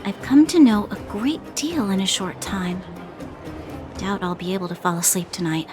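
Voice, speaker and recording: A woman's voice: medium pitch, expressive, normal speed, natural voice. Woman playing a composed young guard officer. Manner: earnest, restrained, formal. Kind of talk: English game voice acting. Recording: prominent background music.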